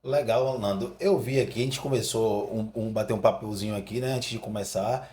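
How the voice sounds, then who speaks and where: A man's voice: lowish voice, normal speed, warm tone. A man co-hosting a podcast, chatting informally and calling his guest "cara". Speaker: podcast co-host. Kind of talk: casual podcast chat. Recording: echoey room.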